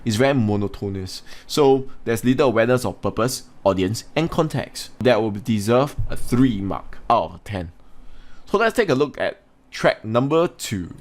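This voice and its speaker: A man's voice: medium pitch, expressive, moderate pace. A man teaching a lesson, explaining and instructing as he comments on exam performance. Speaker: English teacher.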